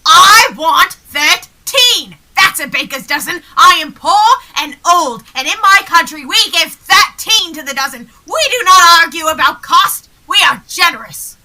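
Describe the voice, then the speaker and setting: An indignant, stubborn old beggar woman in a radio play, acted by a teenage girl. Her voice is high and piercingly sharp, declaiming slowly with insistent, theatrical emphasis. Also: expressive, exaggerated voice.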